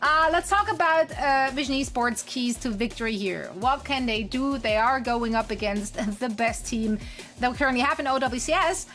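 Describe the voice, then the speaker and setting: Woman, esports desk host, playful, chatty, highish voice, faint music behind. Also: moderate pace, fairly bright tone. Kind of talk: analyst desk chat.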